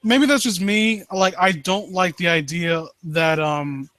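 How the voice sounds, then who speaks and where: A man hosting a live stream, reading and commenting on stories in a halting, rambling way and often rephrasing himself. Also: high-pitched, fairly even intonation, sharp tone, dry recording. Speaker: live stream host reading and critiquing amateur horror stories. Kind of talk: story read-aloud and casual commentary.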